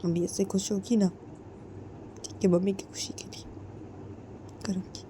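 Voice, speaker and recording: A woman voicing a tearful young daughter-in-law who speaks in a soft, breathy half-whisper, hurt and stubborn. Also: medium pitch, fairly even intonation, a little slow, background noise, lightly acted.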